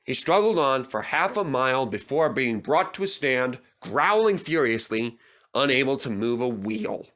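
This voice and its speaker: A man's voice: mid-range voice, expressive, normal speed, a bit bright. A man narrating a children's story as a scripted reading.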